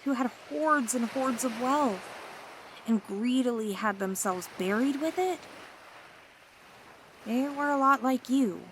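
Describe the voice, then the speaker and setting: A woman voicing a seductive, scheming mermaid in an ASMR roleplay, talking in a teasing, flattering, coaxing way. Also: fairly high pitch, noisy background.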